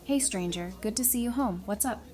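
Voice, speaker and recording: A woman's voice: medium pitch, brisk, a little mellow, natural voice. A woman playing a caring, stubborn and playful survivor in an audio drama. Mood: determined. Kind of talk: fan audio drama acting.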